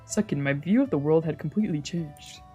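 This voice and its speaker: A woman streamer playing a visual novel, mixing casual, slangy, expressive commentary with reading the game text aloud. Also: low-pitched, brisk. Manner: expressive, reactive, exclamatory, slangy. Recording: music playing behind the voice.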